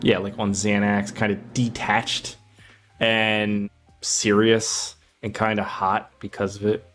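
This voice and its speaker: Lower-voiced man in casual film chat. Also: little pitch movement, takes it slowly, fairly bright tone, faint music behind. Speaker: film podcast co-host.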